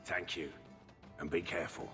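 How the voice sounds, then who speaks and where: An actor playing a wary, gruff former raider turned merchant in a video game. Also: male voice, low voice, steady tone, moderate pace. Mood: cagey, businesslike. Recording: long reverb with background music.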